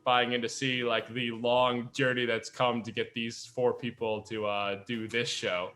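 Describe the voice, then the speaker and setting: Bright-voiced young man hosting a podcast. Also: mid-range voice, flat delivery, measured pace, soft background music. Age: young adult. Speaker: podcast host. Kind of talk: casual group chat.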